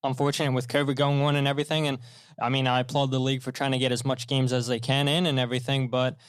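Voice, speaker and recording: A man's voice: mid-range voice, little pitch movement, fast talker, clean tone, dry recording, clean studio sound. A man from Maryland hosting a soccer podcast, chatty and loose, leaning on fillers. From Maryland, USA. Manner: chatty, leans on fillers like "and everything". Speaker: podcast host. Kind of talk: casual podcast chat.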